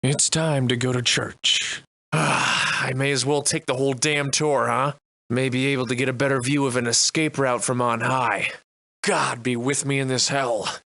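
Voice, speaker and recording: Game hero, male actor as wry old-fashioned gentleman: florid, theatrical diction, forceful bright tone, very dry close recording. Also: mid-range voice, steady tone, moderate pace, gravelly, no room sound. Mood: appalled, grim. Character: sardonic, verbose old-fashioned gentleman adventurer, wryly defiant. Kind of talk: theatrical video game character acting.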